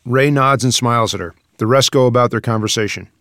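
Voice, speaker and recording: A man's voice: mid-range voice, a little fast, fairly bright tone, no room sound, studio quality. A man reading stage directions as scripted narration for a screenplay.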